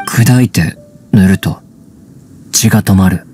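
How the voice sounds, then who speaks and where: A guarded wild young man raised among wolves. He speaks slowly and low in clipped, halting phrases, as in an anime game, with faint music behind. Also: darkish tone. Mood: guarded, flat. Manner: halting, blunt short phrases.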